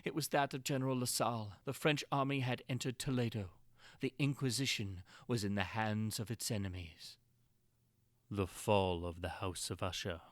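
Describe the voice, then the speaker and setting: A man's voice: fairly low pitch, steady tone, normal speed, dry recording. A man narrating gothic horror as a dramatic reading, with an intense theatrical delivery. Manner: theatrical, intense delivery with big swings from hushed to crying out.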